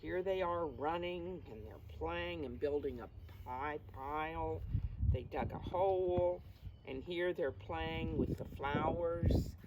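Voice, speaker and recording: Slow, low-voiced woman reading to preschoolers. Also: background noise. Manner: slow, simple phrasing that addresses small children. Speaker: preschool teacher reading a picture book aloud. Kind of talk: read-aloud story for young children with questions to listeners.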